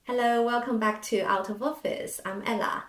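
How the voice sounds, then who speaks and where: A woman in her thirties from China, co-hosting a podcast and speaking in a soft, hesitant way. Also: fairly high pitch, steady tone, moderate pace, recorded from a distance. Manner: soft, hesitant, laughs easily. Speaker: podcast co-host. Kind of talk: casual podcast conversation. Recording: echoey room, set back from the mic.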